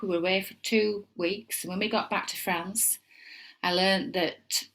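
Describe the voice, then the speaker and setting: A woman's voice: lowish voice, a bit bright, poor audio. A middle-aged woman with a Yorkshire accent, giving a casual tarot reading at home and wandering into chatty asides. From England. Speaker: tarot reader.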